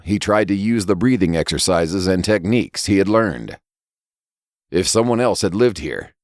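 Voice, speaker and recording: Male audiobook narrator for fiction: low register, lively melodic delivery, deliberate storytelling. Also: dry recording, studio quality. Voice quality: low-pitched voice. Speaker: story reader and podcast narrator.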